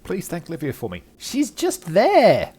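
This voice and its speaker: A man's voice: sits high, huge pitch swings, a little slow, a little mellow, a little hiss or hum. An older man giving commentary as host of a video game let's play.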